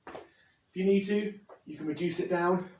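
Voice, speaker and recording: A man in his thirties, slightly hoarse after losing his voice, explaining and demonstrating leg exercises step by step as a fitness coach. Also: high voice, fairly even intonation, normal speed, a little mellow, a little hiss or hum. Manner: plain, step-by-step coaching while demonstrating moves. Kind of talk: instructional workout demo. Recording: echoey, from a distance.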